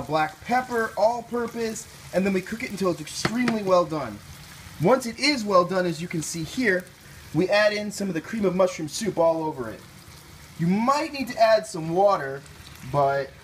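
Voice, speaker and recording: Animated young American man, playful cook. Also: high-pitched, a little hiss or hum. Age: young adult. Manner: playful, slangy. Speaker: cooking show host. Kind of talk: casual recipe instruction. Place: home kitchen and grocery store, indoors.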